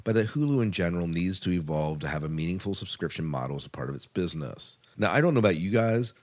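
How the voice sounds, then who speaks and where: A man's voice: low voice, lively intonation, quick pace. A man hosting a podcast, chatting casually about entertainment news. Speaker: podcast host.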